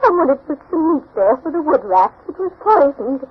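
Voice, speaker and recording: An actress playing a very old, superstitious grandmother, anguished and pleading, in halting 1940s radio melodrama. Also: high voice, big pitch swings. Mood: sorrowful recounting. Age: older. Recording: muffled 1940s radio recording.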